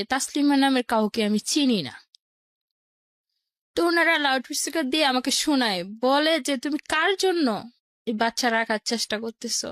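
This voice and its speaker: A woman's voice: on the high side, no room sound, clean studio sound. A woman speaking as an interview guest, telling her own life story in the first person.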